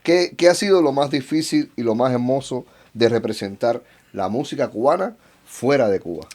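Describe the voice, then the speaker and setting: A male podcast host with a voice at an ordinary middle pitch. He keeps the interview going with friendly questions and short affirming replies. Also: animated, normal speed, darkish tone. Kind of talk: casual interview.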